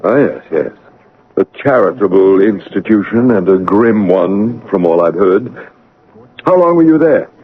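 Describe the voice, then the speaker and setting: An actor playing a dour, brusque master of the house in a radio drama, with an imperious, probing manner and a husky voice. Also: male voice, lowish voice, lively intonation, unhurried, phone-quality sound. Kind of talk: theatrical radio drama acting. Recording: band-limited vintage radio drama broadcast.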